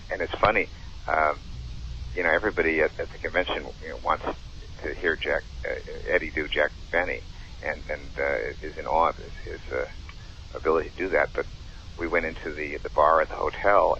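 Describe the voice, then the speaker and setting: A man casually recollecting as a show director, in a halting, rambling manner. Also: rumbling low, sharp tone. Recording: dull, faintly noisy recording.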